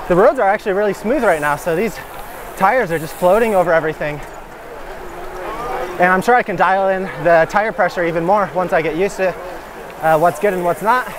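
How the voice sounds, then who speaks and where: A man's voice: high voice, noisy background. An American man talking casually and enthusiastically in an easygoing, informal vlog style while riding a bike outdoors. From Michigan, United States. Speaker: cycling vlogger. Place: outdoors on a gravel country road, riding a bike.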